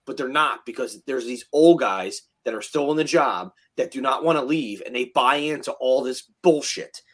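A man in his late thirties talks shop at a middle pitch, blunt and heated, with plenty of swearing. He rants in a loose, casual way, as if chatting with a fellow firefighter. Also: moderate pace, a bit bright. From New Jersey. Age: 30s. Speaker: firefighter podcast host. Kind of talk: casual chat.

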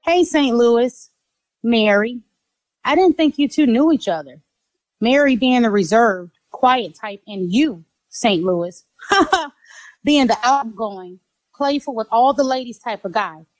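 A woman's voice: on the high side, expressive, slow pace, no echo, low-quality recording. A woman playing a sassy, meddling young woman in an audio drama. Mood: sly, needling.

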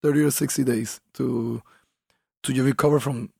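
A fighter in his thirties from Colombia talks in an easygoing, rambling way, leaning on lots of "like"s. His voice is heavily gravelly and husky. The sound is dry and close. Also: medium pitch, steady tone, normal speed, dark tone, no room sound. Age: 30s. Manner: laid-back, rambling with many "like"s. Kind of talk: casual interview talk.

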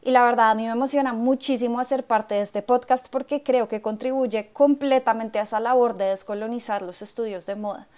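A young adult woman co-hosting a podcast, introducing herself in an earnest, reflective and measured way. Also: fairly high pitch, steady tone, darkish tone. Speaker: podcast co-host, fashion historian. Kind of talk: scripted-sounding personal introduction.

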